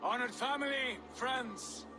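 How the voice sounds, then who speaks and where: An actor playing a proud, magnanimous Viking jarl who speaks formally and with authority in a game cutscene. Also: male voice, very high voice, slow pace. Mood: stately, welcoming. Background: music.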